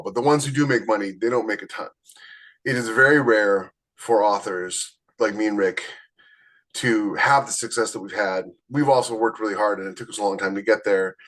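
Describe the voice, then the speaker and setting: Low-pitched man chatting as podcast host. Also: fairly bright tone, low-quality recording. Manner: conversational, explanatory, addresses listeners directly. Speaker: podcast host, self-published author. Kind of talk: casual podcast talk about experience.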